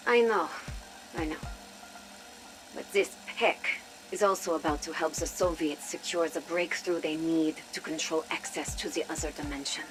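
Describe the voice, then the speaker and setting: Low-voiced, determined woman operative played by an actress. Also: normal speed, faint music behind, lightly acted, poor audio. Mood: urgent, confiding. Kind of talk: video game audio log acting.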